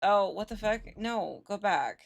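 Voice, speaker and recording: Creaky-voiced woman streamer, unhurried. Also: mid-range voice, expressive. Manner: sweary, informal. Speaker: livestreamer. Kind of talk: casual gaming livestream chat.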